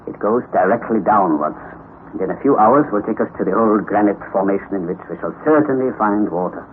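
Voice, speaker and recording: Older explorer professor played by a man, obsessed and grandiose, wildly animated delivery, thin noisy vintage radio sound. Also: on the low side, a little fast, close to an everyday voice. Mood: calming, persuasive. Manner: declamatory, grandiose. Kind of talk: old-time radio drama acting. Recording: tinny, noisy old radio recording.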